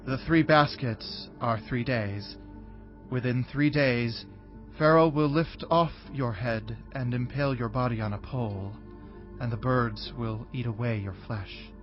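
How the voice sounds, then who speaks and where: An actor playing an earnest young Hebrew prisoner in a dramatized scripture reading, speaking in a solemn, measured way. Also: male voice, medium pitch, lo-fi sound, faint music behind, close to an everyday voice. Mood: grave.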